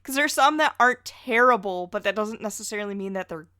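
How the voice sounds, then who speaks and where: Bright-voiced woman chatting on a podcast. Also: mid-range voice, expressive, fast, no room sound, studio quality. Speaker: podcast guest. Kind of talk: casual pop-culture podcast chat.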